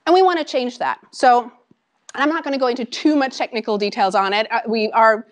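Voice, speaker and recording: A woman in her thirties from Norway with a Norwegian accent, giving a conversational talk to an audience about her startup, animated and full of ums and asides. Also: fairly high pitch, a little fast. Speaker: startup founder giving a lecture. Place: lecture room with an audience.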